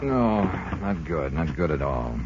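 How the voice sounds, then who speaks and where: A man's voice: deep voice, sing-song, slow pace, a little mellow, slight background noise, heavily acted. An American man acting a dry, tough insurance investigator who narrates his case in a clipped, wry way, in 1950s radio drama style. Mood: dry, matter-of-fact. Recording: muffled vintage radio recording, music behind.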